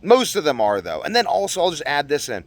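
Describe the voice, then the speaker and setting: A man's voice: a little high, huge pitch swings, fairly quick. A man talking casually and unscripted as a video blogger, earnest and rambling, often repeating phrases for emphasis. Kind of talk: casual unscripted monologue to viewers.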